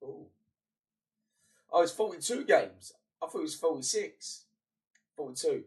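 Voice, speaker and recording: A man's voice: fairly high pitch, lively intonation, measured pace, a little mellow, recorded from a distance. A young man with a blocked-up, fading voice, chatting casually as he streams a football management game and mutters between bursts. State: congested, voice partly gone. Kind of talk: casual gaming commentary.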